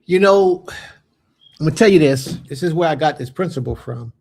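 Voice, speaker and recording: A man in his late thirties with a gravelly, mellow voice, hosting a faith livestream. He chats casually with his viewers, reading their comments aloud and answering each one. He sprinkles Hebrew blessings through his talk. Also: fairly high pitch, lively intonation, moderate pace. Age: late 30s. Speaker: host of a religious fellowship livestream.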